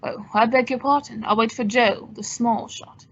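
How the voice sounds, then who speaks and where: A woman playing a suave, wry gentleman sleuth, polished and teasing, in an old-time radio detective drama. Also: on the high side, steady tone, normal speed, breathy edge, highs cut off. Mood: cool, polite.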